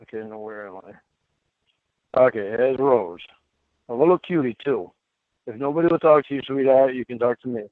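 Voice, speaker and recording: A man's voice: mid-range voice, normal speed, pauses often, dry recording. A man reading a chat exchange aloud and adding his own asides, heard through narrow, tinny playback.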